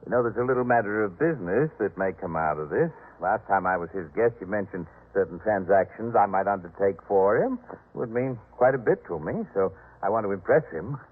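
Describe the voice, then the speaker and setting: A man's voice: lowish voice, expressive, brisk. An American man playing a proud, boastful household master in a 1950s radio drama, with a pompous, patronizing manner. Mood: earnest, bossy. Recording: narrow-band vintage 1950s radio recording.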